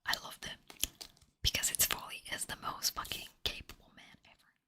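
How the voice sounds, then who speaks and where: A woman whispering a late-night rant about a book at her desk, exasperated and swearing casually, close to a desk microphone. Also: moderate pace. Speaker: book vlogger.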